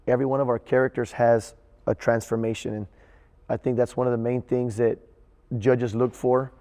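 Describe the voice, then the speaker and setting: A man speaking as a theater director in an interview, earnest and halting, often repeating words as he thinks. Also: mid-range voice, little pitch movement, dark tone, long reverb tail. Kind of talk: interview reflection.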